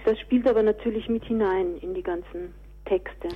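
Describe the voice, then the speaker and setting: A woman's voice: mid-range voice, steady tone, moderate pace, breathy edge, phone-quality sound. A woman answering interview questions conversationally as an author and director, on a thin radio recording.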